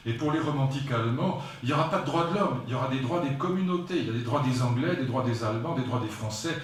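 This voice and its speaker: A man's voice: mid-range voice, expressive, fast. Guy in his 60s giving a philosophy talk, lots of asides, sounds far off in a big echoey hall. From France. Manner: digressive and emphatic, with frequent asides to the audience. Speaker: lecturer at a philosophy conference. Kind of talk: public philosophy lecture. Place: lecture hall.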